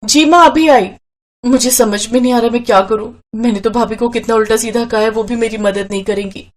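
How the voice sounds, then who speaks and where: A woman's voice: on the high side, brisk, natural voice. A woman voicing a quarrelsome, anxious young sister in a cartoon story, with a plaintive, emotional delivery.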